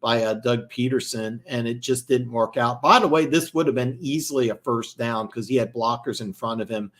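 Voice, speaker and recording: A young man doing live play-by-play and reaction for a football game, excitable and prone to fillers, with a sore throat as he starts to lose his voice. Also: moderate pace. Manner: excitable, jumps high and loud on big plays, lots of fillers. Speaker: amateur play-by-play commentator.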